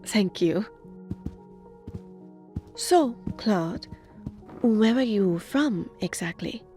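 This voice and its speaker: A woman's voice: medium pitch, animated, very slow, faint music behind. Woman playing a sweet, shy young lady. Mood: polite, curious. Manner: gentle, earnest, hesitant. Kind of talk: romantic audio drama acting.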